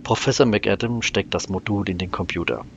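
A man's voice: lowish voice, brisk, vocal fry, lo-fi sound, slight background noise. A man narrating a scripted German science-fiction audio drama, announcing scenes and describing the action. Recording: music under the narration.

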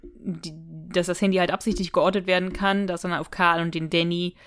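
A woman's voice: lowish voice, little pitch movement, fairly quick, a bit bright, clear, resonant tone. Woman casually talking through a story. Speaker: podcast co-host. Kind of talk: casual discussion of an audio drama.

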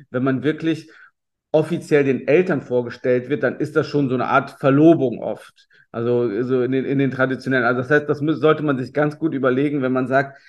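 German guy chatting on a podcast, laid back storytelling, lots of ähs, average pitch. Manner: relaxed, anecdotal, frequent fillers and restarts. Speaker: guest. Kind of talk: interview answers, storytelling.

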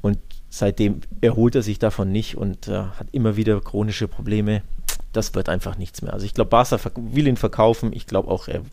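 A man with a fairly low voice and plenty of fry co-hosts a football podcast. He gives his opinions casually and colloquially, often looking for agreement. The sound is dry, with no room to it. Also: fairly even intonation, brisk, few pauses, darkish tone, dry recording. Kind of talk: casual football talk and analysis.

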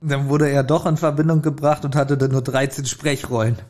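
A man's voice: mid-range voice, flat delivery, a little fast, a little mellow. A man co-hosting a podcast, recapping an episode in casual chat. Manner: laughs often. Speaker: podcast co-host.

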